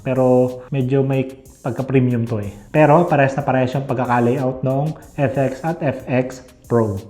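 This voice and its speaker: Filipino guy doing a chatty unboxing review, soft mellow voice, mid pitch, keeps adding little tag words. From Philippines. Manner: conversational, frequently ends phrases with checking tag words. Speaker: host of a motorcycle gear review channel. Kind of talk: casual product review and unboxing, mixing Tagalog and English.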